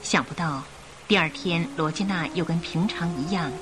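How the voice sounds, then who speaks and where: A woman narrating a Chinese radio drama in a scripted, expressive storytelling style. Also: lowish voice, normal speed. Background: music.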